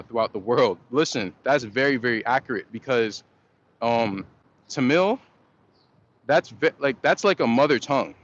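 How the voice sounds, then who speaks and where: A man chats on a relaxed livestream, rambling through viewer comments in a loose, slangy way with plenty of fillers and restarts. His voice sits at an ordinary middle pitch. The stream audio is dull and lo-fi, with a faint hiss of noise behind it. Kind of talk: casual livestream chat.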